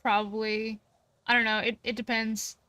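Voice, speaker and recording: A woman's voice: on the high side, little pitch movement, normal speed, sharp tone, dry recording. A woman streaming a game, chatting casually and arguing her point with many fillers. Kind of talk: casual chat while gaming.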